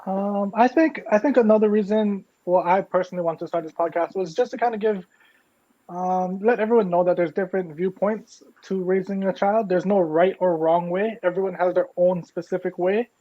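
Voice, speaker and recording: Man in his 30s from Hawaii, high voice, rambling casual talk, muffled audio. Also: fairly even intonation, normal speed. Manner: rambling, lots of "like". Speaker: podcast co-host. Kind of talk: casual podcast chat. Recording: dull lo-fi audio.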